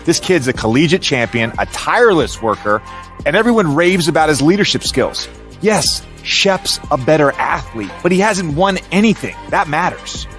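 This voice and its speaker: An indignant male sports analyst in a video game talk show. His voice is fairly high and lively, making articulate arguments over light music. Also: normal speed.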